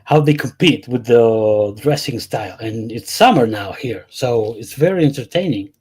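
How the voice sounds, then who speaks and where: A man from Chile, a panel guest talking in a halting, defensive way and repeating himself in casual banter. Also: medium pitch, animated, darkish tone, slightly rough.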